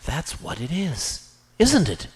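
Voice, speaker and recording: A man voicing an earnest, lovesick young gentleman in an audiobook, with naive, theatrical, old-fashioned phrasing. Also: on the high side, huge pitch swings, takes it slowly, pitched above the performer's own voice, full character voice. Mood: dawning conviction. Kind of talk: audiobook character dialogue.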